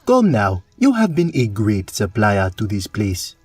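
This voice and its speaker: A man's voice: on the low side, wildly swooping pitch, measured pace, breathy edge, no room sound, full character voice. A man playing a jolly, humble tavern cook in a fantasy audio drama, earnest and eager to help. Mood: helpful. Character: jolly, humble male tavern cook, kindly and easily awed.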